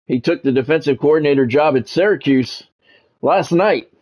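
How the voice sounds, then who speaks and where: A man's voice: fairly high pitch, moderate pace, a little mellow. Middle-aged man, casual sports streamer. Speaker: livestream host, game commentator. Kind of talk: casual stream chat with sports play-by-play commentary.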